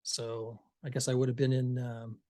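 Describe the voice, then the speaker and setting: A man in his fifties co-hosting a podcast, speaking in short, dry, low-key replies. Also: little pitch movement, normal speed, warm tone. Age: 50s. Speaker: podcast co-host. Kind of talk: casual chat.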